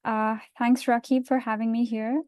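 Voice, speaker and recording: Smooth-voiced young woman from India. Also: fairly high pitch, little pitch movement, normal speed, darkish tone, no room sound, clean studio sound. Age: 20s. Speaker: panelist, university student. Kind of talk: panel introduction.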